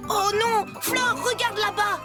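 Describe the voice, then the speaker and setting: Very high, perky little cartoon creature. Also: female voice, steady tone, slow pace. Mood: eager. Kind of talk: French children's cartoon dubbing. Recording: loud music under the voice.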